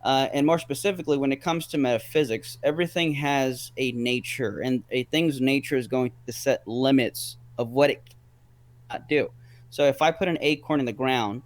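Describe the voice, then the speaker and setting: Man arguing in a rambling way. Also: medium pitch. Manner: rambling, repeats words, argues step by step. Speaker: guest. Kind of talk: casual debate chat.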